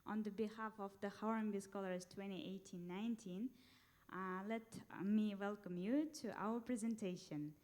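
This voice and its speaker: A woman's voice: mid-range voice, fairly even intonation, normal speed, darkish tone. Woman presenting with a handheld mic. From Uzbekistan. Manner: careful, hesitant with fillers. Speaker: conference presenter. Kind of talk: formal presentation. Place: large conference hall.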